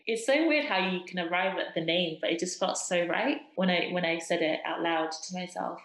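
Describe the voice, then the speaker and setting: A woman's voice: fairly low pitch, fairly quick, roomy sound, low-quality recording. A young woman from Zimbabwe, a soap business founder, talking casually and thoughtfully with lots of "like" fillers. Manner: thoughtful, many "like" fillers, laughs often. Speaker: guest, soap brand founder. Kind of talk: casual interview chat.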